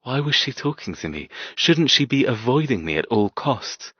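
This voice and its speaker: A man narrating a dark romance audiobook in the first person as a cold, brooding, tormented man, terse and intense. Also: mid-range voice, big pitch swings, moderate pace, husky, dry recording, muffled recording. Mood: bitter, agitated.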